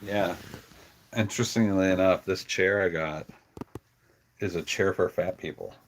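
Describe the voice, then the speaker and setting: A man's voice: low voice, steady tone, a little slow, slight background noise. A man chatting casually and affectionately as he hosts a pet livestream. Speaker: pet channel streamer. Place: on a farm.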